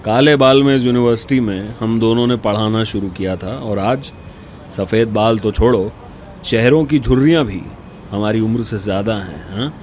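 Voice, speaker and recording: An actor playing an aging university dean in a radio drama, talking in an earnest, coaxing way as a concerned old friend. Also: male voice, on the low side, normal speed, a little mellow, a little hiss or hum, close to an everyday voice. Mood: friendly reproach. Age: older. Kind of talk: Hindi radio drama dialogue.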